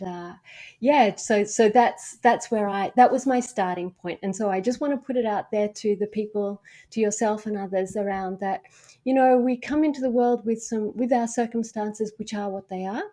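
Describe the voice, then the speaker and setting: A woman's voice: mid-range voice, a little mellow. Frank Australian woman, meditation coach. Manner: frank and earnest, thinking aloud. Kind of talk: podcast interview.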